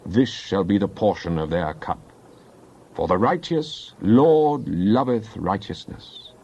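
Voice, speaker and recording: A man's voice: fairly low pitch, lively intonation, gravelly, dark tone, husky. Guy doing dramatic bible reading, solemn and declamatory, kinda muffled lo-fi sound. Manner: solemn, declamatory, deliberate phrasing. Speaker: scripture narrator.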